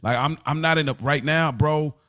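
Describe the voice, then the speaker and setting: A man's voice: on the high side, normal speed, fairly bright tone. A man in his thirties talking candidly and casually on a podcast, emphatic and repetitive, with slangy, confiding delivery. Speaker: podcast host.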